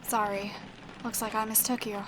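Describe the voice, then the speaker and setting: A woman's voice: little pitch movement, moderate pace, natural voice. A woman playing a mysterious, guarded teenage girl in an audio drama, speaking in a reserved, evasive and clipped way. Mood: cool, guarded. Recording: noisy, rain and car rumble behind. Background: rain and vehicle sounds.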